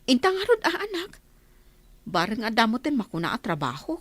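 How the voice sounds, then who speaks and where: A woman's voice: on the high side, huge pitch swings, fairly quick, no room sound. Woman acting a humble, long-suffering village mother. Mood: motherly, concerned. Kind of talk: Ilocano radio comedy-drama acting.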